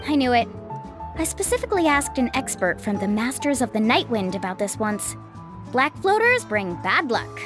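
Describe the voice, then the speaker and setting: Upbeat young game shopkeeper, high voice, friendly, chatty, animated intonation, music underneath. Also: female voice, normal speed. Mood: salesy, reassuring. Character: upbeat young woman, seaside shopkeeper and tour guide. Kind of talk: video game voice acting.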